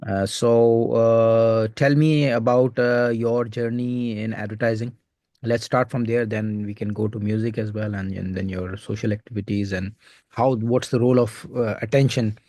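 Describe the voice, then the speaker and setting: Host, man, Indian accent, lowish voice, dry close sound, hesitant delivery full of fillers. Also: fairly even intonation, warm tone, no room sound. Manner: halting, many filler sounds. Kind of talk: recorded interview conversation.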